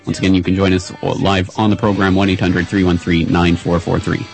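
A man hosting a radio interview, talking in a measured, wry way with frequent "uh" restarts. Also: low-pitched, little pitch movement, gravelly, darkish tone, faint music behind. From Canada. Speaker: radio show host.

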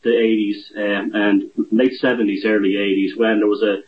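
An Irish man speaking as a guest over a remote line that sounds muffled and lo-fi. His voice is fairly low. He talks casually and rambles, often restarting his sentences. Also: little pitch movement, moderate pace. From Ireland. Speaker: guest author. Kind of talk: casual interview talk. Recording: dull lo-fi phone-style line.

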